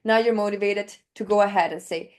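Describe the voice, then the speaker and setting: A young woman in her twenties, a native German speaker, explains her language-learning method in an interview. Her voice is mellow and warm at an ordinary middle pitch. She talks eagerly and lays out each step with examples. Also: fairly even intonation, a little fast. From Northern Italy. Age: 20s. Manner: enthusiastic, explanatory, walks through steps with examples. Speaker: language coach. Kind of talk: interview conversation.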